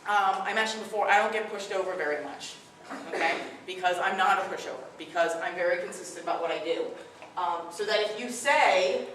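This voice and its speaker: A woman's voice: medium pitch, fairly quick, fairly bright tone, distant mic, slight background noise. Firm woman speaking in echoey room. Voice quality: strong voice. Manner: firm, direct, conversational. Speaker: parenting workshop speaker. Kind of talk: addressing a live audience. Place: room with audience.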